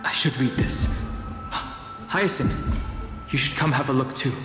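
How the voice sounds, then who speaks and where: A man playing a polite, reserved young warrior in a video game, measured and gentle. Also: mid-range voice, lightly acted. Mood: thoughtful. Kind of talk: video game character dialogue. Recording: echoey reverberant hall, loud background music.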